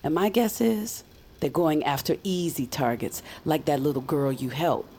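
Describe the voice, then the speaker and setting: An actress playing a weary, ominous woman mystic in audio fiction, grave and deliberate, often hushed to a whisper. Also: low voice, breathy edge. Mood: grave, wistful.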